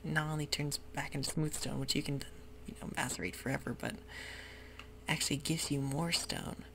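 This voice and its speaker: A host whispering a slow, meandering tour of a game world, close to the microphone, with frequent pauses and fillers. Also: medium pitch, fairly even intonation, creaky. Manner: soft mostly unvoiced whisper; hesitant, meandering, with many fillers. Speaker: gameplay ASMR host. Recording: audible hiss with keyboard clicks.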